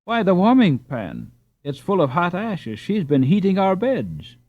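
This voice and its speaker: A man's voice: high voice, wildly swooping pitch, unhurried, no echo, voice pushed up, heavily acted. A man voicing a friendly boy who explains things in a storybook. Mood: pleased. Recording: slightly dull, dry recording.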